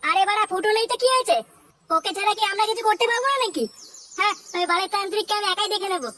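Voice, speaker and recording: A performer voicing a brash, foul-mouthed and excitable young cartoon club lad in a comedy. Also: very high voice, rapid-fire, recorded from a distance, a little hiss or hum. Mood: mocking.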